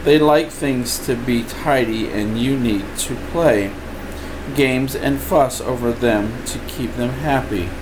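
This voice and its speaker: An older man plays a resigned reject robot dog from a children's book. He explains things plainly and unhurriedly in a matter-of-fact way, with clear air conditioning noise behind him. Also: medium pitch, takes it slowly, lightly acted. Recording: steady room noise, air conditioning hum.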